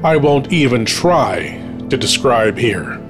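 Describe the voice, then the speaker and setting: A man announces a scripted countdown over clear background music. He has a medium-pitched voice and a slow, deliberate delivery as host. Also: big pitch swings. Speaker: podcast host.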